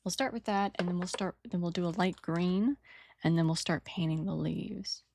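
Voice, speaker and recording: Low raspy woman chatting while painting. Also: fairly even intonation, moderate pace, darkish tone. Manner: rambling, apologetic, trails off mid-sentence. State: early-morning raspiness. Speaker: hobby painter and channel host. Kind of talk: casual talk while painting a model. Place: home desk setup. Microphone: new desk microphone without filters.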